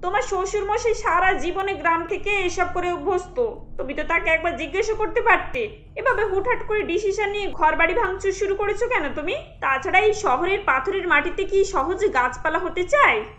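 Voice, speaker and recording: Woman acting a high-pitched reproving older mother-in-law. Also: fairly quick, sharp tone. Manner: admonishing, explanatory. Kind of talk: animated cartoon story acting.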